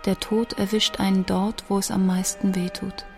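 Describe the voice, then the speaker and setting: An actress plays a young woman musician facing death in a radio drama monologue. Her voice sits at a middle pitch and moves very little. She is grave, inward and bitter, with faint music around her. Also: little pitch movement, moderate pace, a little mellow, natural voice. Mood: anguished, bitter.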